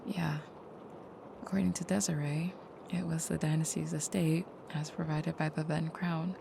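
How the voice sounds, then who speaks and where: Actress as tender vampire girlfriend for comfort roleplay audio: lowish, creaky, hushed and hesitant. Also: level tone, moderate pace, noisy background. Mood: hesitant, confiding. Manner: soft, hushed, hesitant, often dropping to a near whisper. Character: gentle, caring vampire girlfriend, soft-spoken and hesitant.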